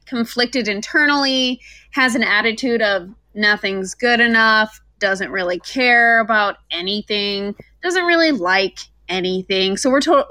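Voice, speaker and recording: A woman who works as a voice actor, chatting informally on a panel in an animated, self-deprecating way. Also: mid-range voice, no echo. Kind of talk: casual panel discussion.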